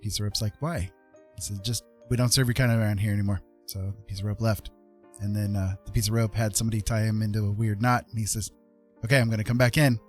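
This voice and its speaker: A man's voice: on the low side, a little mellow. A man hosting a casual live stream, telling jokes and chatting playfully with viewers. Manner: playful, jokey, conversational. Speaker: live stream host and digital artist. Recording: music behind the voice. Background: background music.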